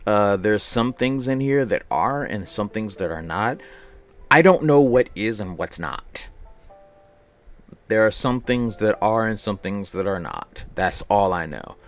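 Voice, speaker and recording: A man's voice: on the low side, lively intonation, unhurried. A man doing casual live game-stream commentary, rambling and repeating himself. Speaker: game streamer at a charity event. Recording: music playing behind the voice. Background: game music.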